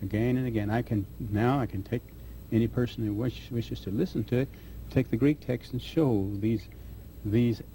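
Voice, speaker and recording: A man answering interview questions as a scholar, speaking haltingly with restarts mid-phrase. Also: medium pitch, mellow, slight background noise, rough recording. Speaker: guest scholar.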